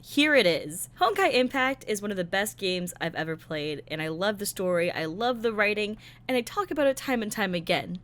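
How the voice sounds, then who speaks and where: A woman's voice: mid-range voice, expressive, normal speed, few pauses, fairly bright tone. A woman giving a casual, slangy recap commentary as a content creator, with sarcastic, exasperated asides and theatrical emphasis. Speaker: content creator reviewing a visual novel.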